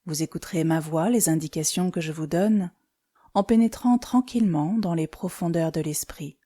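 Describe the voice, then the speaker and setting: A woman guides a meditation in a fairly low voice with a very mellow, relaxed tone. She gives instructions calmly and soothingly, in short phrases separated by pauses. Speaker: yoga nidra instructor.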